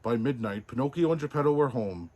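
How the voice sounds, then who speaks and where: A man narrating a children's storybook as Santa, in an expressive, deliberate way. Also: medium pitch.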